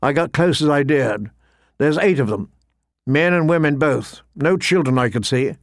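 A man's voice: fairly high pitch, lively intonation, moderate pace, dry recording, studio quality. A man voicing a wary poacher turned scout in an audiobook, reporting in plain, guarded, rustic speech. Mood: uneasy.

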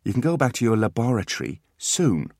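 A man's voice: mid-range voice, sing-song, brisk, a little mellow, no room sound, studio quality, voice pushed up. A man voicing a clever teenage boy patiently lecturing his little brothers.

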